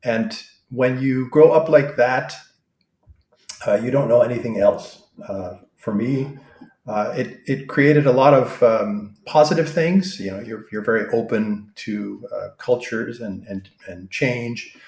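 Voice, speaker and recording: A man in his sixties talks through his answers with plenty of fillers and restarts. His voice sits at an ordinary middle pitch. The room around him is noticeably echoey. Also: a little slow, a little mellow. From born in Vietnam, raised abroad. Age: 60s. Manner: thoughtful, many uh fillers and restarts. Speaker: guest. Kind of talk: interview answers. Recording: roomy echo.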